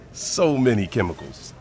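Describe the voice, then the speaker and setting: A preachy husband on a crusade, insistent and emphatic. His pitch swings widely as he presses his point like a zealot who will not let go. It is radio-play acting. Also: huge pitch swings, slow, music in the background, full character voice. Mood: earnest, pushy. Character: zealous, meddling husband lecturing shoppers about toxins. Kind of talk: audio drama acting.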